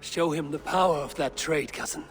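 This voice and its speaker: A man playing a steely, loyal Viking warrior in a video game, terse, commanding and measured in manner. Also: on the high side, faint music behind, natural voice. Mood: measured counsel.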